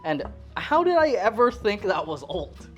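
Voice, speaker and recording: A woman's voice: mid-range voice, very animated, measured pace, muffled recording, faint music behind. A woman in her twenties from the Philippines, chatting casually and self-deprecatingly while she cooks in her home kitchen. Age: 20s. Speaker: cooking vlogger. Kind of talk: casual rambling chat while cooking.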